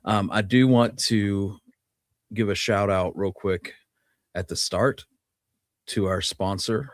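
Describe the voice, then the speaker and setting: Male radio show host, lower-pitched, casual chat delivery, dry close recording. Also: steady tone, slow pace, no echo. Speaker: podcast host.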